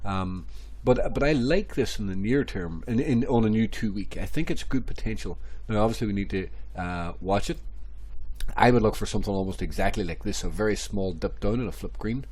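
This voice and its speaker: A man, hoarse and stuffy from a cold, rambling through crypto chart analysis with many repeats and fillers. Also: fairly low pitch, fairly quick, a little mellow. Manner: rambling, repeats phrases, many ums and restarts. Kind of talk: casual screen-share market commentary.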